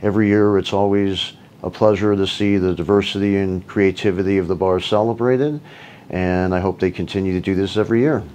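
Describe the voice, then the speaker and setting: A middle-aged man speaking in his office on a lapel mic, giving measured award acceptance remarks with frequent filler pauses. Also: low voice, fairly even intonation. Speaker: lawyer and award-winning photographer. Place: office with framed picture behind.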